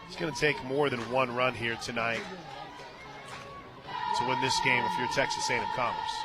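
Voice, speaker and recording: A man giving conversational analysis as color commentator on a live softball radio broadcast. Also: medium pitch, fairly even intonation, moderate pace, music in the background, crowd noise. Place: stadium radio booth.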